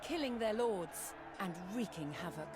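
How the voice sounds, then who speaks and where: Female documentary narrator, mid-pitched, measured and dramatic, deliberate pauses, scripted history read over prominent music. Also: expressive.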